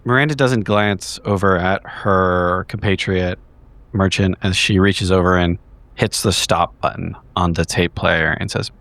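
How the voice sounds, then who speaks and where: A man giving a measured, wordy recap in formal storytelling phrasing, as a player in a tabletop horror game. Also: low voice, steady tone, fairly bright tone, no room sound. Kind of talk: in-character recap narration for an actual play. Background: background music.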